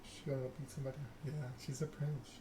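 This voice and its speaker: A man streaming a text game, reading narration aloud in a soft-spoken ASMR style with casual chat. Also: medium pitch, flat delivery, quick pace. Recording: clear background noise.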